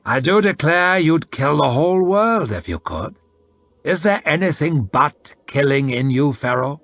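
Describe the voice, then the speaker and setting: A man voicing an old man who speaks in low, hushed warnings. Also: lively intonation, measured pace, no echo. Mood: weary. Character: old man, quiet and stern mentor.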